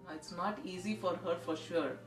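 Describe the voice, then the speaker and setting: A woman's voice: on the low side, normal speed, over music. A middle-aged mother speaking earnestly in an interview, restarting her sentences. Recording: echoey room, distant mic.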